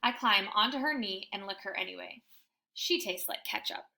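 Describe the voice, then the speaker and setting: A woman reading a children's book aloud in an animated, emphatic storytelling style. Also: mid-range voice, moderate pace, creaky. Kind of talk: expressive children's book narration.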